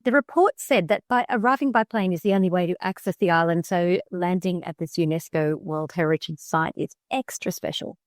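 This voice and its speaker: A woman co-hosting a travel podcast, chatting in a lively, playful, emphatic way. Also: lowish voice, fairly quick, few pauses, dry recording, studio quality. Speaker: podcast co-host. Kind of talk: casual chat.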